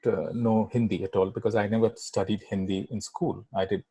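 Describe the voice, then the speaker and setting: A middle-aged man with an Indian English accent, a native Bangla speaker, tells his story as an interview guest. He rambles, leans on fillers and keeps asking "right?". His voice is slightly husky and sounds dry and close, with no room echo. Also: lowish voice, fairly even intonation, a little fast, mellow. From Kolkata, India. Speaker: guest filmmaker. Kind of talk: casual interview chat.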